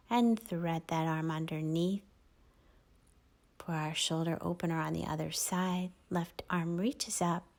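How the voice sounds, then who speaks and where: A woman yoga teacher gently guiding a class through poses and breathing, often dropping to a near whisper. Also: on the low side. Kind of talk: guided yoga class cues.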